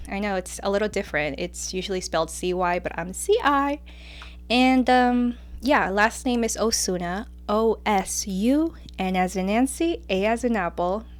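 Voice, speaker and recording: A woman in her late twenties whose first language is Spanish, with a light Mexican accent, hosting her own podcast in a casual, chatty way full of fillers. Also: mid-range voice. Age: 20s. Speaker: podcast host. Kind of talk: casual solo podcast chat.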